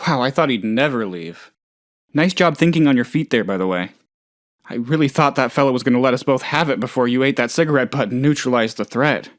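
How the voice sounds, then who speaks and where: A man's voice: mid-range voice, brisk, no echo, clean studio sound, close to an everyday voice. A man playing a hapless, trusting, lonely oddball in a video game, talking in a rambling, hesitant, good-natured way. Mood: casual musing. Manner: rambling, hesitant, naive, chatty. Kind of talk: video game character dialogue.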